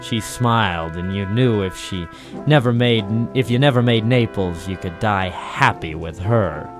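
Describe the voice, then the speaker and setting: An actor playing a tough, cynical dockside private eye in 1940s radio noir style, deadpan and hard-boiled. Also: male voice, lowish voice, measured pace, natural voice. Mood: wry, brooding. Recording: vintage 1940s radio recording with music.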